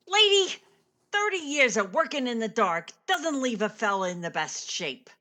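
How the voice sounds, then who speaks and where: A woman playing a grouchy veteran theater stagehand in a radio play, gruff and slangy. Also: on the high side, sing-song, measured pace, bright, forceful tone, full character voice. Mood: startled, exasperated.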